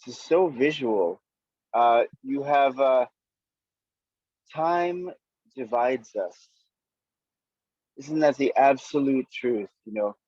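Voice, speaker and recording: A man hosting an online poetry reading, giving spontaneous, halting and appreciative commentary in muffled audio. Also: medium pitch, expressive, darkish tone, dry recording.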